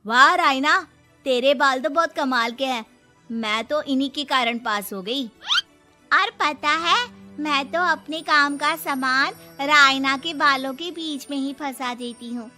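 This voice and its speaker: A cocky young college girl from a cartoon moral story, acted by a woman. Her voice is high and bright, and she takes her time over each boast. Music plays behind her. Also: a little slow, heavily acted. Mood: proud. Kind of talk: animated moral story dialogue.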